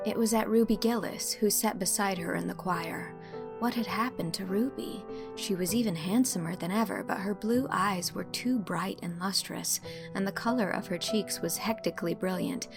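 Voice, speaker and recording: A woman reading literary fiction as an audiobook narrator, in an expressive scripted storytelling style. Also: mid-range voice, normal speed, gravelly, music in the background.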